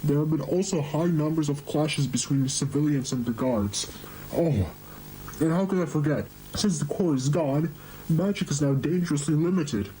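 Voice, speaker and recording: A man's voice: on the high side, moderate pace, dark tone, a little hiss or hum, close to an everyday voice, poor audio. A man voicing an exhausted, anxious monster queen who scolds her husband in an amateur horror drama. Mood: weary, distressed.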